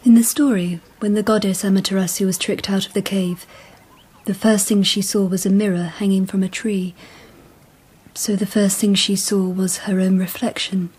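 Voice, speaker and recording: An actress playing a dignified, perceptive empress in a radio drama, breathy, poised and quietly wary. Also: female voice, medium pitch, little pitch movement, close to an everyday voice, rough recording. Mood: reflective, shrewd. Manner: poised, formal, deliberate.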